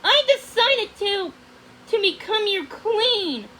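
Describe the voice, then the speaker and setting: A woman's voice: very high voice, sing-song, slow pace, pushed and bright, a little hiss or hum, pitched above the performer's own voice, heavily acted. A woman voicing a young storybook princess who is defiant and brave, at times flustered. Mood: nervous.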